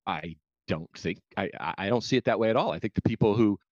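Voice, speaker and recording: A man's voice: lowish voice, a little mellow, dry recording. An American man speaking as an interview guest in a political debate, arguing his points and often restarting as he rebuts. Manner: argumentative, halting restarts when rebutting. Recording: slightly dull, lo-fi audio.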